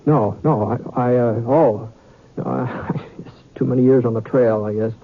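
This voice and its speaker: An actor playing a seasoned, weary western gunman with a folksy, halting manner in an old-time radio drama. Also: medium pitch, natural voice. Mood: evasive. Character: seasoned male western gunman, weary and guarded, recounting his tale. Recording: muffled, hissy vintage radio recording.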